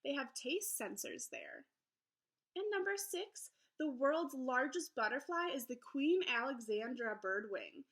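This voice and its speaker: Bright, high-pitched woman reading to children. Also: a little slow. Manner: expressive, explaining simply to young children. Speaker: elementary school teacher. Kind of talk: children's picture book read-aloud with teaching asides.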